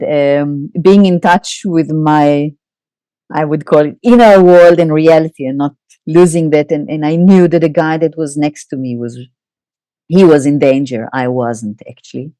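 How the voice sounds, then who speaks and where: A middle-aged woman with an Israeli accent, a psychoanalyst guest in an interview, telling her story candidly with halting pauses and wry laughs. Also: deep voice, mellow, dry recording.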